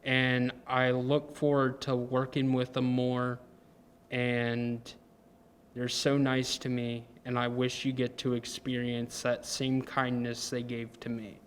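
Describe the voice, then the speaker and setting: A twenty-year-old man introducing himself as a program intern, earnest and pausing with ums and self-corrections. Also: mid-range voice, completely flat delivery, a little hiss or hum.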